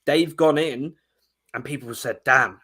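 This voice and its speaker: A young man from England, a guest analyst, breaking down football in casual chat, talking fast with frequent restarts. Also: medium pitch, bright, forceful tone.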